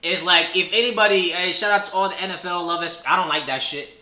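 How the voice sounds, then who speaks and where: A man hosting a reaction video, talking in excitable slang and hyping up his guest. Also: high-pitched, quick pace. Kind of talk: casual reaction chat. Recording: echoey room with faint noise.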